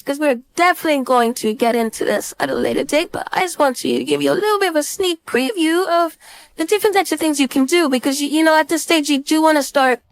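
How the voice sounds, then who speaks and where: A woman instructor giving a casual screen-share software tutorial, thinking aloud informally as she demonstrates. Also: high voice, fast, gravelly. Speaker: design instructor.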